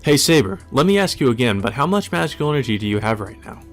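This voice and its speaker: A man's voice: medium pitch, sing-song, quick pace, faint music behind. A man voicing an earnest, caring young man in a visual novel, speaking in a plain, coaxing way. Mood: curious.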